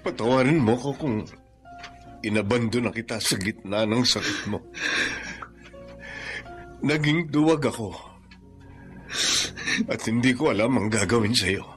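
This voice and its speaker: A man's voice: medium pitch, measured pace, pauses often, soft background music, natural voice. A man acting a contrite middle-aged father in a Filipino radio drama, solemn and emotional, with a Filipino accent. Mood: remorseful.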